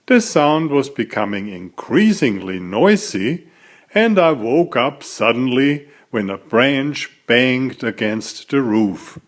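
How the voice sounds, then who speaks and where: A man reading a children's story aloud as its author, in an expressive, deliberate storytelling manner. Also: mid-range voice.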